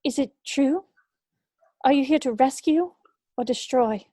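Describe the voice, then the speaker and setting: A woman reading a grave, mellow-voiced bitter woman scientist. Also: sits high, measured pace, no echo, clean studio sound. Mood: grave, wary. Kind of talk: dramatic script table read.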